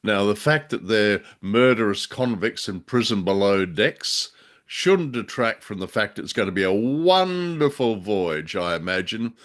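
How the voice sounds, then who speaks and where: Male presenter, Australian accent: deep, slow, drily playful introductory patter. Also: animated, fairly bright tone. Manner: dry, tongue-in-cheek. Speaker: podcast host. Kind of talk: scripted introductory banter.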